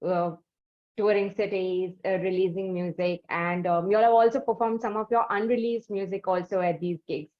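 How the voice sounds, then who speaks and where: A woman's voice: medium pitch, steady tone, normal speed, lo-fi sound. A woman with an Indian accent hosting a conversational music interview, effusive and wordy in how she talks. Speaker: interview host.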